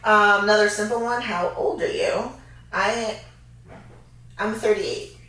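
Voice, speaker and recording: Thirties woman, laid-back co-host, mid pitch, recorded far off in a reverberant room. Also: a bit bright. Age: 30s. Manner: chatty, easygoing, quick to laugh. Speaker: fitness co-host. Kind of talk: casual Q&A chat. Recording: echoey room, mic far off.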